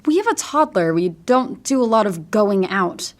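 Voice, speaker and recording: A woman's voice: mid-range voice, very animated, moderate pace, close to an everyday voice. An actress playing a guarded, defensive young mother in an audio drama, speaking insistently. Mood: guarded, pleading. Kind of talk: audio fiction acting.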